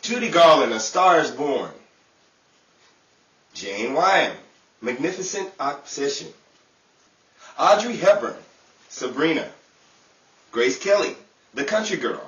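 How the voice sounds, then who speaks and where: A man presents awards like an old-time radio host, with a high, very animated voice. He sounds theatrical, in a roomy space away from the mic. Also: slow, long pauses, muffled recording. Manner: theatrical announcer delivery. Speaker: show host and cast member. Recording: roomy, distant mic, faint crowd and hiss.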